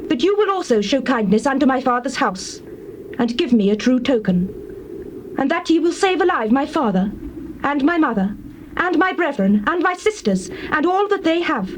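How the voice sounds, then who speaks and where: A high, earnest female voice for a woman of Jericho in an audio Bible drama. She pleads with solemn resolve in formal, archaic phrasing. Faint noise sits behind her. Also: poor audio. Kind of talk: dramatized King James Bible acting. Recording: faint background noise, ambient sound.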